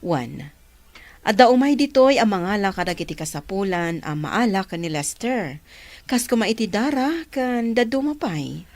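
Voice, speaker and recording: A woman voicing a calm professional doctor in a radio drama, explaining things steadily. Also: mid-range voice, normal speed, no room sound. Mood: explanatory. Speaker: doctor character. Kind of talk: Ilocano radio drama acting.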